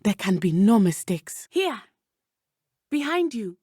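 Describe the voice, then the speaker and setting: A woman's voice: mid-range voice, sing-song, slow pace, dark tone, breathy edge, no echo, clean studio sound, heavily acted. A woman playing an earnest, brave teenage schoolgirl witch in a video game, speaking in formal, precise phrases. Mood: urgent. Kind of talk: video game character dialogue.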